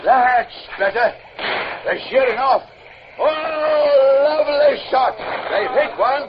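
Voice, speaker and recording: A man playing a rough, hearty ship's officer in a 1950s radio drama, barking and exclaiming. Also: extremely high pitch, slow. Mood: frantic excitement. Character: rough, excitable British sailing-ship officer. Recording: muffled noisy old radio, crowd behind.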